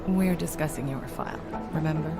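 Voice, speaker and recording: A woman playing a composed, probing agency doctor in a video game, measured and dry in her straight talk. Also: low-pitched. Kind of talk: video game cutscene acting. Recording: music behind.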